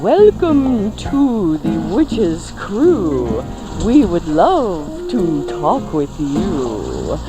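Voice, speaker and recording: Radio comedy witch, performed by a woman: sly hostess, theatrical, very drawn-out delivery. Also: lowish voice, huge pitch swings, over loud music, heavily acted, poor audio. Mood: sly, teasing. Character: slow, teasing wicked witch hostess.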